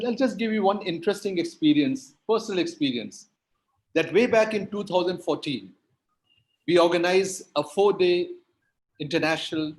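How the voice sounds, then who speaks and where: A man's voice: high-pitched, poor audio. A man whose first language is Hindi and Urdu, speaking on a panel in an emphatic, lecture-like way with rhetorical repetition. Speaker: linguistics academic, panelist.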